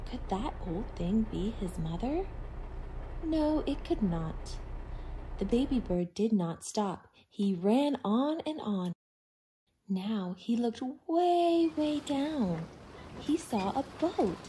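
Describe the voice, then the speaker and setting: A woman reading a picture book aloud expressively to young children, in simple, deliberate phrases. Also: on the high side, very animated, warm tone, a little hiss or hum. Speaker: children's storytime reader.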